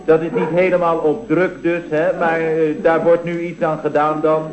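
A man's voice: fairly high pitch, flat delivery, moderate pace, close to an everyday voice. Man acting an apologetic underling, muffled roomy recording. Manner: apologetic, hesitant, explanatory. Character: deferential male employee, earnestly excusing his shabby flat. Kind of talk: vintage Dutch radio comedy acting. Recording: dull, boxy vintage radio recording, roomy.

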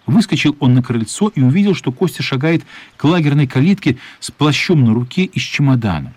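A man narrating a children's radio play as a wry storyteller with a husky voice. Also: mid-range voice, lively intonation, a little fast, darkish tone, no echo, close to an everyday voice. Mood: storytelling.

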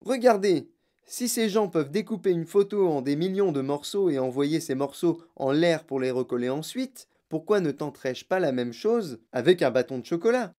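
A narrator voicing an excitable, bossy, eccentric male chocolate factory owner in a children's audiobook, with exclamatory, theatrical commands. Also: mid-range voice, moderate pace, few pauses, close to an everyday voice. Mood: excited, grandiose. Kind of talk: children's audiobook character acting.

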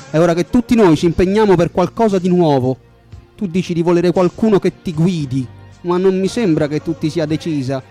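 Mellow man reciting over music. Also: fairly high pitch. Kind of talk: spoken recitation of translated song lyrics.

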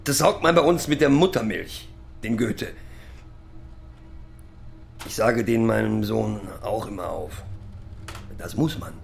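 An actor playing a rambling, proudly sentimental middle-aged pub drinker who lectures and recites poetry in a halting, self-correcting way. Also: male voice, fairly low pitch, gravelly, faint music behind, full character voice. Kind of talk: theatrical monologue with halting recitation.

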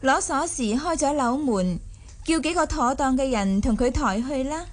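A woman's voice: high voice, expressive, normal speed. A woman playing a young, shrewd, imperious mistress of a rich household in a Cantonese radio drama. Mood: teasing. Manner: imperious, smoothly sociable.

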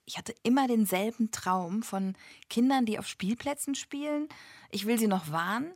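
A woman's voice: a little high, animated, moderate pace. An actress playing a woman in her forties who wryly recalls an anxious childhood in a radio play. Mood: rueful, confiding.

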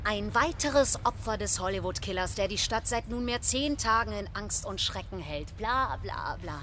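A woman's voice: mid-range voice, lively intonation, moderate pace, a bit bright, a little hiss or hum, close to an everyday voice. An actress playing a pushy, cool female television news reporter in a radio drama. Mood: dismissive.

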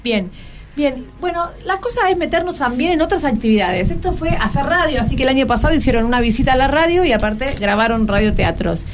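Argentine lady radio host, rioplatense accent, kinda high voice, chatty interview, encouraging, lots of questions. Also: lively intonation, fairly quick, background noise. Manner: encouraging, asks many questions.

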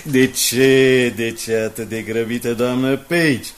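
An actor playing an insinuating, mock-polite male newspaper reporter who needles and threatens smoothly in a radio play. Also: mid-range voice, fairly even intonation, slight background noise, natural voice. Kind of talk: 1980s radio play acting.

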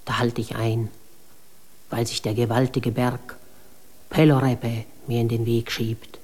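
An actress playing a proud, wild river spirit, reciting a lyrical, solemn monologue in a poetic radio play. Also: female voice, very deep voice, level tone, unhurried, mellow, breathy edge, exaggerated voice. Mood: solemn, flowing. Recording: noisy recording with ambient sound.

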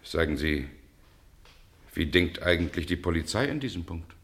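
An actor playing a suave, earnest gentleman in a radio drama, courteous and reassuring. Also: low voice, lively intonation, normal speed. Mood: inquiring, courteous.

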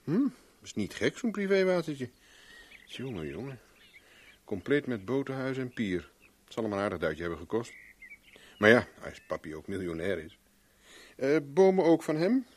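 An actor playing a flippant, flirtatious private investigator in a radio drama, with wry, teasing banter. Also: male voice, mid-range voice, big pitch swings, moderate pace, long pauses, husky. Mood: nonchalant. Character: flippant, flirtatious male private investigator, world-weary charmer.

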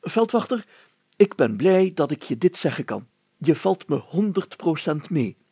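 A man voicing a pompous, excitable mayor in a storybook reading, grandiose and declaiming. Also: a little high, unhurried, husky, no room sound, voice pushed up, exaggerated voice. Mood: effusive, self-important. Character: pompous, excitable middle-aged male mayor, theatrical and effusive.

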